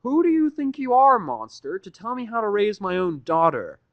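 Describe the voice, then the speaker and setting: Man playing a haughty, high-pitched wealthy pony father. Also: big pitch swings, creaky, no room sound, highs cut off, full character voice. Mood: furious. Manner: pompous, slow, deliberate threats.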